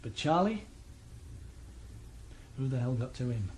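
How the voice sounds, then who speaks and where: An actor playing a cold, menacing middle-aged crime boss in a radio play, speaking in a matter-of-fact way. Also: male voice, medium pitch, expressive, a little slow, a little mellow, close to an everyday voice. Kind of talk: radio drama acting.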